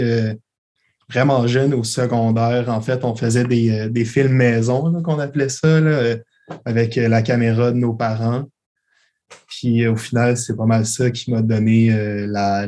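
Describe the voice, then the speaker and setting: A young man from Belgium, raised in Quebec, answering interview questions in a hesitant, relaxed way with many fillers. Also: medium pitch, measured pace. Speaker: interview guest, filmmaker.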